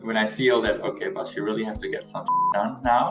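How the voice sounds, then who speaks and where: A man's voice: fairly low pitch, fairly even intonation, fast talker, echoey room, distant mic, loud music behind the voice, rough recording. A young man with a Dutch accent, a native Dutch speaker, answering questions as an interview guest in a conversational way. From Netherlands. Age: young adult. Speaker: interview guest, youth organization leader.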